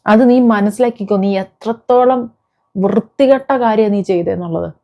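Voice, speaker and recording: A woman's voice: mid-range voice, moderate pace, mellow, muffled recording. A woman delivering an impassioned, confrontational vlog rant, scolding someone with repeated rhetorical questions. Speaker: vlogger. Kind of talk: impassioned rant addressed to an absent person.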